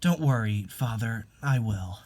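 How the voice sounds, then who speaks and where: A man playing a calm, gentle young married man in a dramatic reading, soft-spoken and reflective. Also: on the low side, a little slow, voice pushed down. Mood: reassuring.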